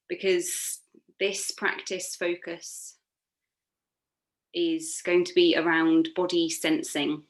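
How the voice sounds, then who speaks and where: Woman guiding relaxation in an even, low-set voice. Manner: soothing, gently encouraging, unforced. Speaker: yoga nidra instructor. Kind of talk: guided relaxation meditation.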